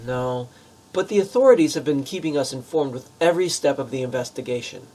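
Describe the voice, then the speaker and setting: A man's voice: mid-range voice, animated, brisk, a little mellow, close to an everyday voice, low-quality recording. A man acting as a nervous, polite pizza shop manager in a radio play, speaking hesitantly and warily. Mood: nervous, probing. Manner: hesitant, wary.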